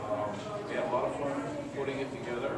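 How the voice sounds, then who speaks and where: A man's voice: mid-range voice, little pitch movement, fast talker, distant mic. An older man hosting an amateur radio play, chatting informally and in a rambling way to an audience. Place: large echoey room before a live audience. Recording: very echoey noisy hall, off mic.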